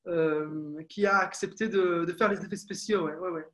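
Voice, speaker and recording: Young man, high voice, hesitant. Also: steady tone, normal speed, a bit bright. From France. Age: young adult. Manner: hesitant, many fillers and restarts. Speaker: film director. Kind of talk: video call interview. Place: small room at home, indoors. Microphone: earphone mic on a video call.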